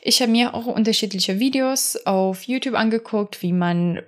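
A woman's voice: fairly high pitch, normal speed. Young woman doing a chatty solo podcast, german not her first language, meanders with lots of fillers. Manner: rambling, full of fillers and restarts. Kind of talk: casual personal monologue.

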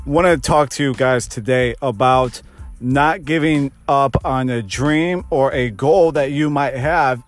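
A man of thirty, a fitness coach, hosting his own podcast and giving an earnest motivational pep talk that repeats phrases for emphasis. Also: mid-range voice. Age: 30s.

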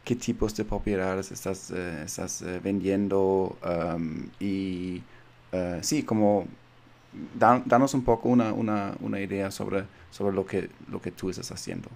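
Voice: low-pitched, fairly even intonation, a little slow, creaky, darkish tone. An interviewer with a Colombian accent who asks rambling questions with many restarts. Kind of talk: casual interview.

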